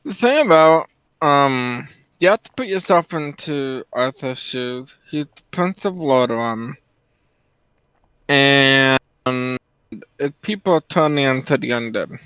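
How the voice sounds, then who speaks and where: A man's voice: medium pitch, expressive, slow pace, a bit bright, smooth, no echo, dull audio. A man giving casual, opinionated commentary as a guest on a stream.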